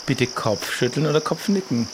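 A man's voice: medium pitch, expressive, moderate pace, background noise. A man playing along in an improvised adventure audio play, with casual, exclamatory banter.

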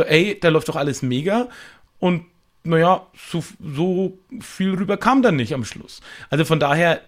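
A man chatting casually as a podcast guest and improv performer, acting out thoughts in quoted asides. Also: a little high. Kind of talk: casual animated chat.

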